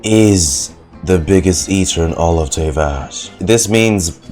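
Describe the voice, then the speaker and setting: A man's voice: low voice, slow pace, darkish tone. A young man streaming a game, giving casual, incredulous, sweary reaction commentary. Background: game music.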